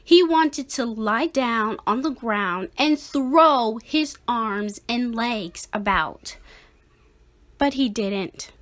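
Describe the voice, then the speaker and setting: A woman reading a children's picture book aloud in a deliberate, expressive manner. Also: fairly high pitch. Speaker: storybook reader.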